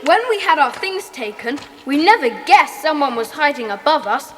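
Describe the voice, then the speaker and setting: An actress playing a decisive young boy who leads his gang in a children's audio drama, brisk and commanding. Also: high voice, expressive, fairly bright tone, a little hiss or hum. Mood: urgent. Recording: long hall-like reverb.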